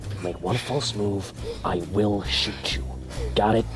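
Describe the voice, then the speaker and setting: A man's voice: lowish voice, over loud music, lightly acted. An actor playing a tense, evasive man who has been swindled, in a radio drama. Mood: menacing. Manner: tense, halting. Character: swindled man, nervous, evasive, turning menacing.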